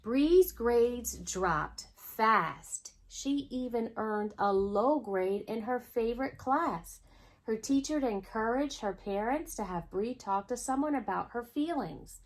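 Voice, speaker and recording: A woman's voice: fairly high pitch, lively intonation, slow pace, low-quality recording. A woman hosting a children's storytime, reading a picture book aloud expressively and speaking directly to young listeners. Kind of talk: storytime read-aloud with asides to young viewers.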